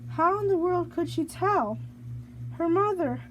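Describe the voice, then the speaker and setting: High-voiced woman slowly reading a storybook. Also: expressive, fairly bright tone, slight background noise, rough recording. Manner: halting, stumbles and rereads phrases. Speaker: storybook reader. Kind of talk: reading a children's picture book aloud.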